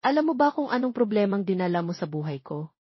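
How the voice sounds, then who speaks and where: Lady doing hurt young woman in a romance audio drama, accusing and sharp, husky, roomy dull sound. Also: mid-range voice, big pitch swings, fast talker. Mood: tearful, bitter. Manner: tearful, accusing, sharp-tongued. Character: hurt, indignant pregnant young single woman.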